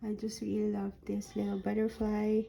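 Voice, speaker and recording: A woman with a hoarse voice that is recovering from a sore throat, showing a handmade journal folio in a soft, unhurried way with frequent ums. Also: mid-range voice, monotone. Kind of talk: slow, casual craft flip-through.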